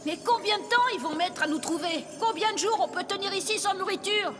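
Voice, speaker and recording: A woman voicing a small, anxious but eager boy sidekick in a French cartoon, childlike and expressive. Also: very high voice, a little fast, noisy background. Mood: worried. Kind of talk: French animated series dubbing. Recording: reverberant hall sound, music behind.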